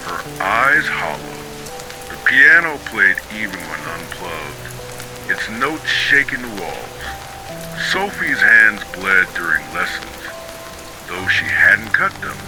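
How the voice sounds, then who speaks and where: A man with a low voice narrates eerie tales in a solemn, scripted style. His delivery is very unhurried and broken into short dramatic phrases, yet the pitch swings expressively. It suits a bedtime horror story. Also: rough recording. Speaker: narrator of ghost stories.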